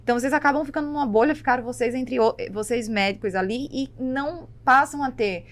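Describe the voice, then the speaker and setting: A woman's voice: high voice, quick pace. A woman hosting a business podcast, asking long probing questions while thinking them through aloud. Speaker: podcast host.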